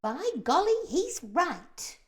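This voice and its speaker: A woman doing a shrill excitable centipede. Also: drawn-out words, heavily acted. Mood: excited. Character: excitable male centipede, loud and boisterous.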